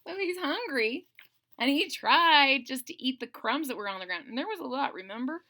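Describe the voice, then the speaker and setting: Animated, high-voiced woman reading to kids. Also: normal speed. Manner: expressive, conversational, addresses young listeners directly. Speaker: storybook reader for children. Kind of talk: animated children's read-aloud with casual asides.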